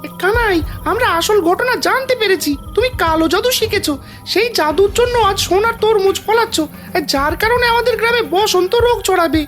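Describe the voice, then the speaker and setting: An agitated, accusing cartoon villager , performed by a woman, quick to believe and blame. The voice is extremely high, with faint music behind it. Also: fairly bright tone. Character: excitable, gullible, accusing villager. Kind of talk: Bengali cartoon voice acting.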